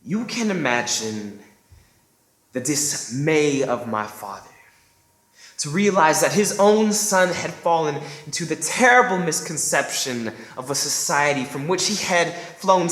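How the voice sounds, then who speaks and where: A man narrating his own story in a slow, deliberate and solemn spoken-word monologue. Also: fairly high pitch, lively intonation, fairly bright tone. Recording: roomy echoing space.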